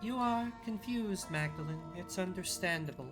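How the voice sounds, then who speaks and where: A performer voicing a devout visionary nun, a gentle, bewildered old woman who speaks in a solemn, reverent, measured way over music. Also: mid-range voice, close to an everyday voice. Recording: music under the voice.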